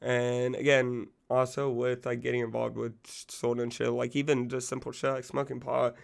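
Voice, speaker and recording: Gravelly man in his twenties, getting over a sickness, rambling casual talk, lots of fillers. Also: medium pitch, flat delivery, normal speed. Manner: rambling, loose, full of fillers and profanity. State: recovering from illness, losing his voice. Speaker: music channel host. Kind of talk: casual music commentary.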